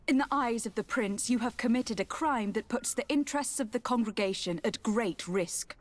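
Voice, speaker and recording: A woman's voice: fairly high pitch, no room sound, natural voice. Composed, commanding noblewoman envoy played by an actress. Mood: stern, threatening. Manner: formal, measured, assertive. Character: composed, stern young noblewoman envoy, diplomatic yet commanding. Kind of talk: video game dialogue acting.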